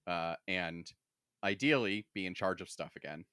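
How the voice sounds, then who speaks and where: A man's voice: deep voice, moderate pace, pushed and bright, clean tone, dry recording. A man co-hosting a podcast, chatting casually with wry, dry humor. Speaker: podcast co-host. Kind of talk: casual chat, book discussion.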